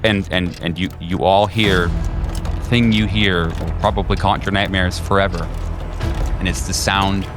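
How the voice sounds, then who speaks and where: Deep-voiced man running a horror game. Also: steady tone, over music. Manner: informal, halting, repeats words. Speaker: game master. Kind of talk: improvised tabletop horror game narration.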